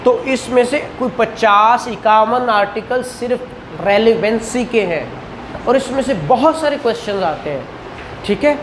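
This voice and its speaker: A man's voice: very high-pitched, a little slow, fairly bright tone, a little hiss or hum, low-quality recording. A man with a Pakistani accent giving a classroom law lecture, didactic, often prompting listeners with questions and repeating the answers. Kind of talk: classroom exam-prep lecture.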